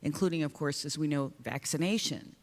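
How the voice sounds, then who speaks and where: A woman's voice: low-pitched, a little fast. Woman panelist in a reverberant hall. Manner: earnest, searching, with restarts. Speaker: performing singer and panelist. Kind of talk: panel discussion. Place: conference hall stage. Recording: long reverb of a large hall.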